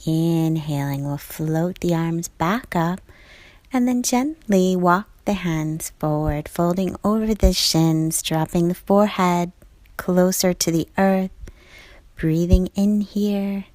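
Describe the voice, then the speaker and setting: A woman leading a guided yoga class, giving calm, measured cues in short phrases, with a soft voice that turns breathy at phrase ends. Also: fairly low pitch, dry recording. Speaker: yoga instructor.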